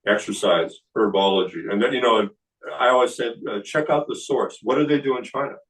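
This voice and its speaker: A man in his sixties, a kung fu teacher, explaining health practices in a relaxed seminar talk with many fillers and restarts. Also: medium pitch, fairly quick, recorded from a distance, low-quality recording. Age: 60s. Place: indoor studio room.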